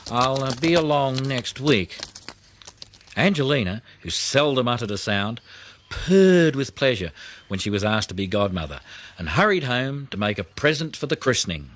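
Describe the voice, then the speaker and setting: Man narrating a children's story, animated intonation. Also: mid-range voice, moderate pace, slight background noise. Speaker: audiobook narrator. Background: plucked guitar music.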